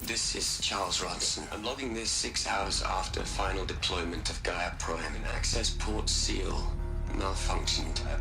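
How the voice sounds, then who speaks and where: An actor playing an earnest scientist under strain in a dramatic video game. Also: male voice, sits low, low-quality recording. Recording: music behind the voice. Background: music.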